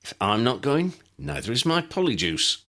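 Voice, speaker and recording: A man's voice: mid-range voice, sing-song, moderate pace, vocal fry, bright, forceful tone, pitched above the performer's own voice, exaggerated voice. A man voicing a cocky, stubborn young male reporter in an audiobook reading, with a bold, insistent delivery.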